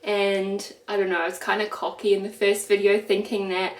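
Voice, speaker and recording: A woman's voice: medium pitch, flat delivery, normal speed. Stuffy-nosed young New Zealand woman. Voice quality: nasal. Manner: chatty, filler-heavy. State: congested, stuffy nose. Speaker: vlogger. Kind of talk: casual chat. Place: home kitchen. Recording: echoey room.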